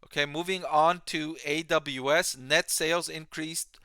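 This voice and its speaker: A man presenting explanatory financial commentary as an analyst, speaking in measured, emphatic, deliberate phrases. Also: on the high side, steady tone, bright, forceful tone, clean tone, dry recording, studio quality. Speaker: financial analyst and video host. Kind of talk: explanatory commentary on an earnings call.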